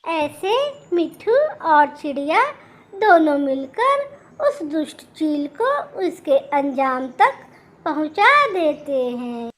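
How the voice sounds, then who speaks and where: A woman tells a children's story in a very high, almost childlike pitch. She takes it slowly, delivering scripted narration as a storyteller.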